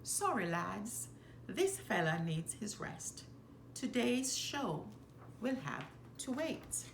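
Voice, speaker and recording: A kindly storybook ringmaster voiced by a woman reader frets with emphatic, swooping delivery. Words come slowly, in a roomy, echoing space. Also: medium pitch. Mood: resigned, kindly. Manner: emphatic, expressive storybook dialogue. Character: concerned, kindly male circus ringmaster. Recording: roomy echo, faint background noise.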